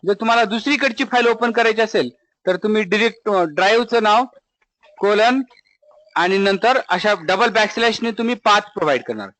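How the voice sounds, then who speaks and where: Man, high voice, Indian accent, programming teacher, explanatory, repeats points, live classroom demonstration. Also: expressive, a little fast, a bit bright, rough recording. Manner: explanatory, checks back with questions, repeats himself.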